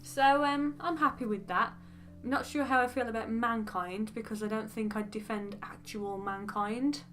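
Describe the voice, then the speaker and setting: A young woman with a West Midlands accent talks to the camera in a chatty, rambling way, full of frank little asides. Her voice sits a little on the high side. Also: steady tone, normal speed, fairly bright tone, soft background music. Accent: West Midlands English. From English Midlands. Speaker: beauty vlogger. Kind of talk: casual get-to-know-me chat.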